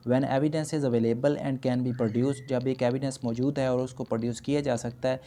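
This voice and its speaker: A man with a Pakistani accent giving an explanatory law lecture, switching between explanation and slowly read English legal phrases. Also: medium pitch, dark tone. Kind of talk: explanatory lecture, reading out points and explaining them.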